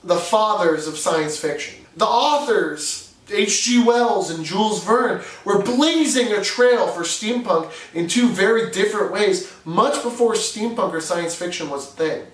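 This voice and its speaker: New england guy, pretty high voice, earnest explainer talk, kinda roomy echo, sits back from the mic. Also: measured pace, hardly any pauses, recorded from a distance. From New Hampshire. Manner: earnest, conversational, with occasional fillers. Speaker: video host. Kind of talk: scripted explainer narration.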